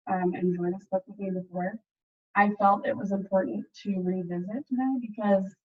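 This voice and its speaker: A woman teacher reading a storybook aloud to students in an animated, chatty way, with casual asides. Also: medium pitch, narrow, tinny audio. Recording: distant mic, thin band-limited sound.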